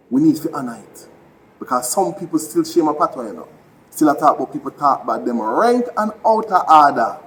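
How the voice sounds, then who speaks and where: A man's voice: fairly high pitch, slight background noise, rough recording. A man with a Jamaican accent, an actor giving a heartfelt spoken tribute addressed directly to a departed mentor.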